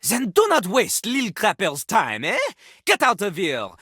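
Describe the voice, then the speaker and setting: A woman's voice: mid-range voice, huge pitch swings, unhurried, a bit bright, slightly rough, no echo, clean studio sound, exaggerated voice. A woman voicing a boastful, vulgar male French rapper toilet in a video game, with a French accent and a pompous, theatrical delivery that slips into rap. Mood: scornful, challenging. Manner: pompous, refers to himself in the third person.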